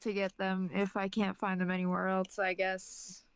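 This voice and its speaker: A woman with a smooth, clean voice at a medium pitch. She talks informally, as in a conversation with friends. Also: completely flat delivery, moderate pace, no echo. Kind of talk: casual chat with friends.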